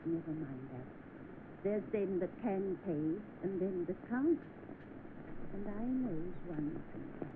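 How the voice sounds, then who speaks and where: A woman's voice: lowish voice, expressive, slow pace. An actress playing a kindly, motherly working-class landlady in 1930s film style. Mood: kindly, reassuring. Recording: noisy narrow-band old film soundtrack.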